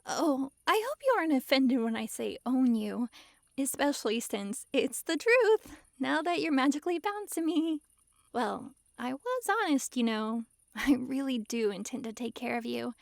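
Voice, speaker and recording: A woman's voice: sits high, vocal fry, no room sound, studio quality, heavily acted. A woman playing a possessive, lovestruck fox-girl mage in an intimate ASMR roleplay, sweetly controlling and giggly. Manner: sweetly controlling, giggly, swings between gushing and hushed coaxing. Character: possessive, lovestruck young-sounding fox-girl mage, dominant yet giddy.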